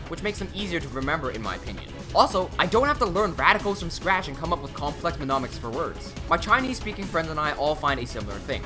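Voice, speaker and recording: Young man, native English and Cantonese speaker, chatty self-mocking vlogger, animated delivery. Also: on the high side. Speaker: language-learning YouTuber. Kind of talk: casual vlog narration.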